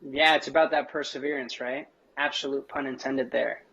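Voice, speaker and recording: A man's voice: mid-range voice, steady tone, normal speed, vocal fry, a bit bright, husky. Male host, casual and upbeat, dull lo-fi call sound. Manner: upbeat, conversational, casual fillers. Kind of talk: hosted panel interview. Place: home room with plants. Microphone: video call.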